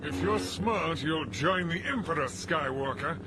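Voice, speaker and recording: An actor playing a menacing, fanatical dark Jedi villain in an audio drama, commanding and theatrical. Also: male voice, fairly high pitch, lively intonation, measured pace, heavy background noise. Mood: threatening. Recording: loud music over the voice.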